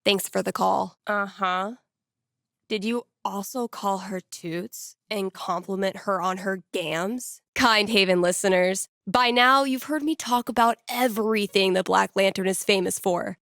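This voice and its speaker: A dry, close-recorded voice of a young woman radio DJ in a video game. She is candid and quick-witted, with a mid-range pitch. Her delivery is conversational and wryly sarcastic, like casual on-air patter. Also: creaky, a bit bright, no room sound. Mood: wry, reflective, then breezy, awkward promotion. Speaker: radio host.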